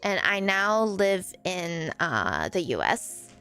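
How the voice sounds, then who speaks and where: A woman in her forties who grew up in Malaysia, a streamer answering chat in a halting way with many fillers. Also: lowish voice, wildly swooping pitch, bright, forceful tone, clean tone, faint music behind. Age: 40s. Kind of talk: live stream chat reading viewer comments.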